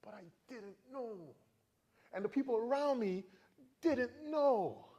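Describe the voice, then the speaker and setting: A man in his forties from the Virgin Islands, giving a motivational talk to students with animated storytelling and questions for the crowd. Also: very high-pitched, slow, lo-fi sound. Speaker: motivational speaker.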